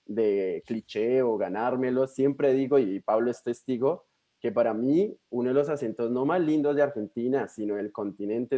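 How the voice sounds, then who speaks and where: Young Colombian man, missionary guest speaker on a video call; relaxed, mellow tone, friendly and rambling. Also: medium pitch. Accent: Colombian Spanish. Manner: friendly, chatty, many fillers and restarts. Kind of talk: warm personal introduction to a church.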